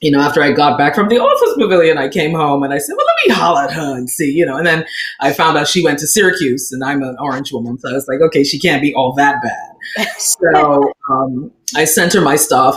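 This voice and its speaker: Animated woman telling stories, echoey room. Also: sits low. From Bahamas. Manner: animated storyteller, acts out quoted voices, laughs often. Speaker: author on a book festival panel. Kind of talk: casual panel talk, storytelling.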